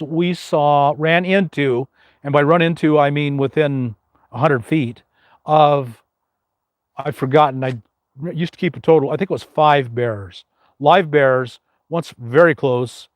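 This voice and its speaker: A man's voice: a little high, dry recording. An older man with a big, carrying voice hosts a casual live question-and-answer stream, rambling with many fillers and restarts.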